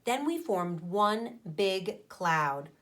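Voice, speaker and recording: A woman teacher reading a children's story aloud expressively, pausing to explain things to her young listeners. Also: medium pitch, very animated. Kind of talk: animated storybook read-aloud with asides to children.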